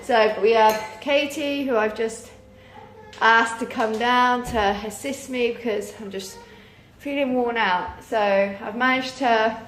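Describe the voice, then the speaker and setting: A woman's voice: a little high, fairly even intonation, unhurried, a bit bright. A British woman in her thirties chatting casually and informally in a rambling way, tired and losing her voice with a sore throat. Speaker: vlogger, mother. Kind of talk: casual chat to camera. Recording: roomy echo, clear background noise.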